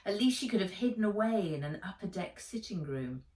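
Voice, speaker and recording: A woman reading a children's adventure story aloud in an expressive, deliberate storytelling manner. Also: mid-range voice. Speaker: author reading own book aloud. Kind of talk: dramatized children's audiobook narration. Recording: roomy echo, distant microphone.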